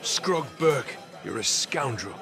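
A man voicing a brash, matey barkeep who needles customers about debts in an animated comic. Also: mid-range voice, slow pace, over music. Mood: mocking. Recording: loud bar crowd behind.

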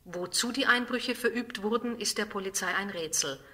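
A woman playing a formal, factual radio newsreader in an audio drama, with measured delivery. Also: medium pitch, pushed and bright, lightly acted. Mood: formal, neutral. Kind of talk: radio news reading.

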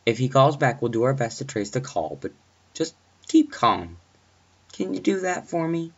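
A man's voice: medium pitch, very animated, normal speed, slightly rough, lo-fi sound, pitched above the performer's own voice. A man playing a businesslike, reassuring male police dispatcher in a horror story.

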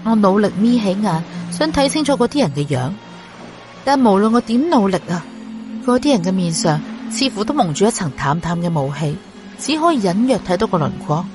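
A woman narrating a scripted audiobook story. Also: medium pitch. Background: music.

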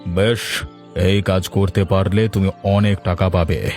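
A man's voice: sits low, level tone, measured pace, a little mellow, faint music behind. A man voicing a power-hungry, commanding village boss in a cartoon tale. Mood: calculating. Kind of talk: Bengali fairy-tale cartoon voice acting.

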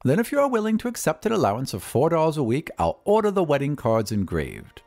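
A man's voice: fairly high pitch, huge pitch swings, quick pace, darkish tone, pitched above the performer's own voice. A man voicing a vain, haughty young society lady in a storybook reading, blunt and condescending. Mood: grand, bargaining.

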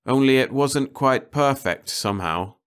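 A man's voice: medium pitch, animated, measured pace, clear, resonant tone, voice pushed up, natural voice. A man voicing a matter-of-fact husband in an audiobook, firm and explanatory. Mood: explaining. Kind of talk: audiobook character voice.

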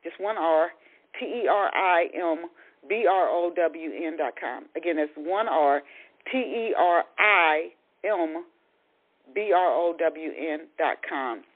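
A woman hosting a podcast in radio interview style, talking in a halting way and often rephrasing. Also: deep voice. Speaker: podcast host. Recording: thin, phone-like radio line.

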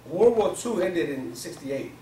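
A man's voice: a little high, sing-song, unhurried, warm tone. A man in his forties from Pakistan, a non-native English speaker, giving an informal motivational talk to a small group in colloquial, streetwise phrasing. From Pakistan, grew up in Virginia, US. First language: not English. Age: 40s. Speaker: seminar speaker. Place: small indoor conference room. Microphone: far camera microphone. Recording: echoey room, distant camera mic, noisy.

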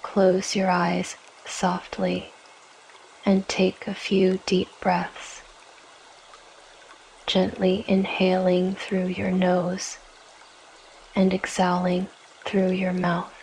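A woman guiding a yoga nidra meditation in a gentle, soothing, measured way, leaving long pauses between short phrases. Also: fairly low pitch, monotone, slight background noise, low-quality recording. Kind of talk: guided meditation, scripted narration.

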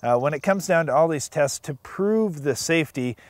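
A man's voice: fairly high pitch, lively intonation, normal speed, dry recording. Middle-aged male farm show co-host, plain explainer, dry recording. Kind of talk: scripted outdoor farm show chat. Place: outdoors in a field.

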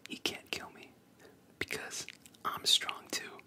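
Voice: slow pace, bright, forceful tone. A storyteller voicing a blunt, matter-of-fact boy hero in a whisper, as in a manga retelling. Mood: unconcerned.

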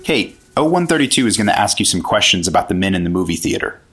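A man's voice: low voice, sing-song, fast, over loud music. An American man playing a bitter, impatient junior government agent in a 1950s radio drama, sullen and challenging. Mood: matter-of-fact.

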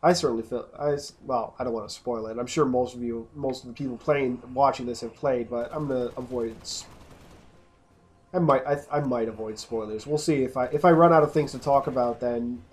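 A man's voice: mid-range voice, fairly quick, a little mellow. A man casually commenting over gameplay, rambling and often restarting his sentences. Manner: rambling, self-interrupting, casual. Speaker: let's play host.

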